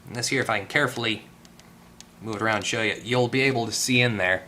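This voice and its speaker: A man with a fairly low but bright, forward voice talks through an electronics repair. He is informal and tentative, reasoning aloud at his workbench. A faint layer of noise sits behind him. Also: fast. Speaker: repair video host. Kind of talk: casual hands-on electronics tutorial. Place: at a workbench indoors.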